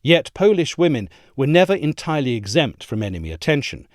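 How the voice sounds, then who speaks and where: Lively-toned male essay narrator. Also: a little high, dry recording, studio quality. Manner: measured, expressive storytelling read. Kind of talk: scripted narration.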